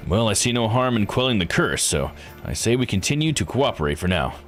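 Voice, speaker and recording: Calculating visual novel investigator, read by a man: low-pitched, formal, deliberate, with music behind. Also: sing-song.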